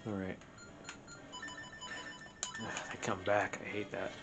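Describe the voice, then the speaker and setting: A man's voice: low voice, little pitch movement, takes it slowly, gravelly. Man casually commentating while gaming. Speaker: co-host playing a video game. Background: video game music.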